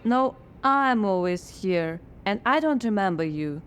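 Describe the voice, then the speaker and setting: A woman's voice: mid-range voice, animated, measured pace, smooth, natural voice. A woman playing a skeptical, frazzled scriptwriter in a radio drama, dry and sardonic.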